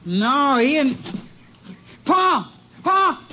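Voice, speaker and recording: High whiny teen boy, sulky, old radio show with hiss. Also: very animated, drawn-out words, dull audio, full character voice. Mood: frightened. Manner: whiny, reluctant. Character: sulky teenage boy, graduating high school. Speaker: son. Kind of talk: 1950s radio drama acting. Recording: hissy old radio broadcast.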